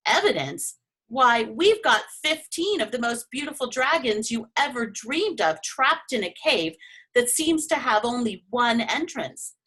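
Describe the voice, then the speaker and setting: A woman voicing an excited, boastful male explorer in a children's storybook, telling of his find with eager, emphatic exclamations. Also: a little high, measured pace, breathy edge, pitched above the performer's own voice, exaggerated voice, rough recording. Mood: excited, proud.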